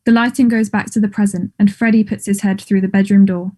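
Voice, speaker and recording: A woman's voice: mid-range voice, little pitch movement, a little fast, mellow. A woman reading stage directions as scripted narration at a table read of a play.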